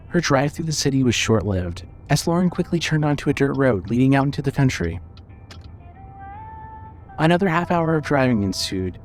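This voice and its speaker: A man narrating a scripted story as the narrator of an audio drama. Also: medium pitch.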